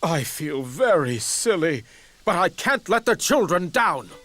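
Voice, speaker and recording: An actor playing an earnest, self-conscious young steam engine in a children's cartoon. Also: male voice, on the high side, sing-song, a little slow, a bit bright, dry recording, faint music behind, heavily acted. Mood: sheepish, worried.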